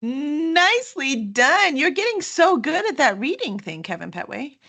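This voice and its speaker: Bright-voiced woman hosting a casual book chat. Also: high voice, sing-song, measured pace, creaky. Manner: effusive, informal. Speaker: host promoting a book release.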